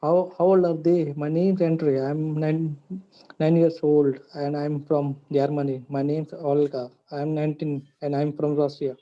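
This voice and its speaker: A student, a man, reading a short text aloud haltingly, with stumbles and restarts. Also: fairly high pitch, flat delivery, lo-fi sound.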